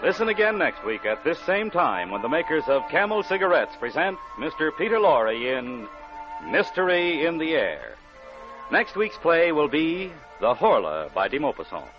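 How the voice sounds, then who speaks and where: A man announcing formally from a script in declamatory 1940s radio style. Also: medium pitch. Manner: formal, declamatory list reading. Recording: muffled 1940s radio broadcast.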